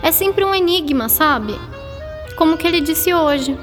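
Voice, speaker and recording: A woman's voice: very high voice, expressive, a little slow, faint music behind. A woman with a Brazilian accent playing a curious, chatty schoolgirl in a radio drama, sweet and a little puzzled.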